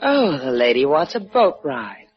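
A woman's voice: deep voice, big pitch swings, measured pace, soft background music. A sardonic American lady sailor in a 1950s radio play. She is dry, clipped and teasing, heard on a dull, lo-fi vintage recording. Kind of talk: 1950s radio drama acting.

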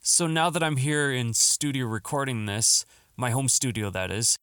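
A man's voice: medium pitch, expressive, moderate pace, fairly bright tone, smooth, no room sound. A man hosting a podcast from his home studio, talking in an upbeat, earnest tone while his throat is still scratchy from the flu. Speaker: podcast host. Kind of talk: solo podcast monologue.

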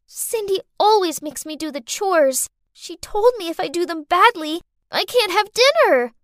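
A woman voicing a manipulative little girl who whines and pleads in a high childish voice. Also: animated, measured pace, no echo, clean studio sound. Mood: whiny. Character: manipulative little girl, fake-tearful and sly.

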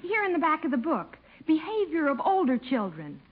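A woman's voice: high voice, huge pitch swings, moderate pace, narrow, tinny audio. A woman acting an eager youngster in a 1950s radio comedy, on an old broadcast recording. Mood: earnest, persuasive.

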